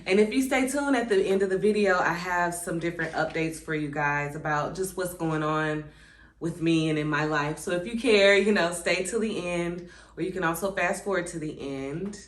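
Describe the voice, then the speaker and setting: A woman's voice: on the low side, normal speed. A woman in her thirties with four kids, talking in a casual, reflective way as she reads from a workbook and muses between passages. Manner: conversational, reflective, many "um" and "like" asides. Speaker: channel host. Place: indoors at home, seated. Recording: echoey room.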